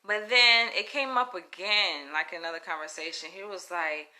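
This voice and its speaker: A woman with a Southern US accent, telling a story to camera in a frank, animated, profane way. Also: on the low side, moderate pace. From Florida, US. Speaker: comedian. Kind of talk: casual storytelling vlog.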